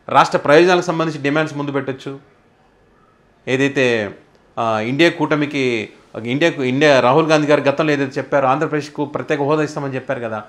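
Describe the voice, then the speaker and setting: A man with a Telugu accent presenting political news commentary in an explanatory way, posing rhetorical questions as he goes. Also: a little high.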